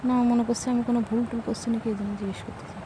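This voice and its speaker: A woman's voice: on the high side, level tone, quick pace, background noise, close to an everyday voice. A woman with a Bangladeshi accent acting as a hesitant office employee in a phone-call drama, deferential yet bluntly reluctant. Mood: polite, unsure.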